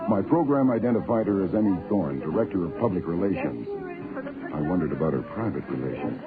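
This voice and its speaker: A man's voice: low voice, animated, brisk. An actor playing a wry, wisecracking private detective who narrates his case dryly in a radio drama. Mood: wry, amused. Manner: dry, sardonic. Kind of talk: hardboiled first-person radio drama narration. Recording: tinny band-limited old radio, music behind.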